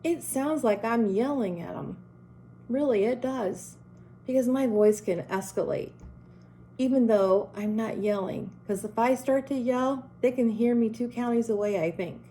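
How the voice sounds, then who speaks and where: Slow, strong-voiced middle-aged woman. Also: on the high side, warm tone, slight background noise. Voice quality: strong, carrying voice. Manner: earnest, deliberate, direct. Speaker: school principal. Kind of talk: advice talk to parents and teachers.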